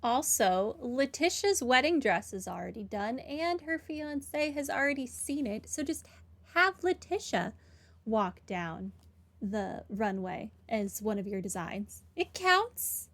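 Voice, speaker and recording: Higher-pitched woman gamer commenting casually. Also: big pitch swings, slow pace, fairly bright tone. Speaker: gaming streamer. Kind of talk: casual game playthrough commentary. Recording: game music behind the voice. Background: game music.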